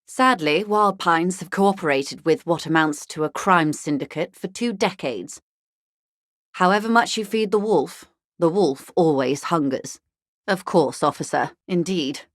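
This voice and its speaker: An actress playing a poised, well-bred corporate negotiator in a video game, speaking in an articulate, measured, drily ironic way. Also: female voice, lowish voice, dry recording, studio quality, lightly acted. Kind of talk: scripted video game dialogue.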